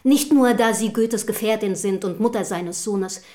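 An actress in a German historical radio drama playing an earnest, outspoken early feminist from the city, speaking formally and rhetorically. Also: female voice, mid-range voice, normal speed, warm tone, close to an everyday voice. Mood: fervent, admiring.

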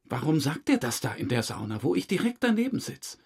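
An actor playing a chummy, self-satisfied murder suspect who breezily dodges questions in a German crime audio drama. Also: male voice, on the high side, very animated, brisk, vocal fry, darkish tone, slightly rough, full character voice. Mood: unrepentant, confiding. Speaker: suspect under interrogation.

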